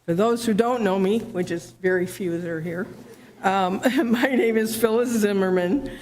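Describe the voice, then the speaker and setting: A woman who is board president introducing members and staff at a public meeting, with a halting delivery and frequent fillers. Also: mid-range voice, darkish tone, slight background noise. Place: township meeting room.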